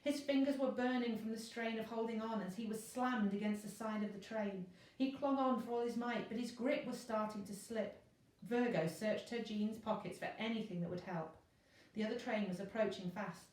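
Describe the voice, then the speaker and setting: Female teacher storyteller: expressive narration of children's fiction, distant, very reverberant room. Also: fairly high pitch, normal speed. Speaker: teacher reading a class novel. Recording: very echoey room, distant mic.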